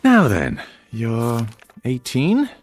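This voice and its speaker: An actor playing a glib, patronizing doctor in a radio drama, with a pompous and condescending manner. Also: male voice, medium pitch, huge pitch swings, drawn-out words, soft background music, full character voice. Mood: genial, smooth. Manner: pompous, condescending, hesitating bureaucrat's patter. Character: smooth, patronizing male doctor, glib and theatrical.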